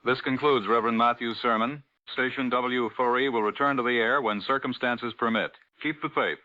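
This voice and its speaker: A man playing a grave, formal wartime radio news anchor in a measured 1940s bulletin style. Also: medium pitch, fairly even intonation, sharp tone, lightly acted. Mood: grave, urgent. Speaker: news announcer. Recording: dull lo-fi old radio sound.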